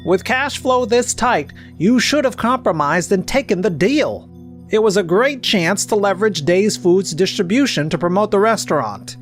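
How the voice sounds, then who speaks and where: A woman's voice: mid-range voice, expressive, normal speed, few pauses, soft background music, lightly acted. Woman acting stern, scolding financial advisor. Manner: firm, reproachful. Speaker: tax advisor and management consultant. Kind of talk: anime-style comic dub acting.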